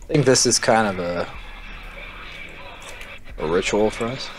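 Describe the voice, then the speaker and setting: A man's voice: on the low side, unhurried. A man chatting informally while playing a video game, speaking emphatically as he makes his points. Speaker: co-host of a gaming chat show. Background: music.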